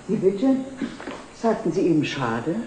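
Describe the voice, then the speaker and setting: A woman's voice: on the low side, very animated, a little slow, mellow. An actress playing a well-off, chatty middle-aged lady customer in a 1960s TV drama. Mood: decisive, gracious. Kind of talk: 1960s German television drama acting. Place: indoor antique shop set. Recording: roomy, noisy old TV soundtrack.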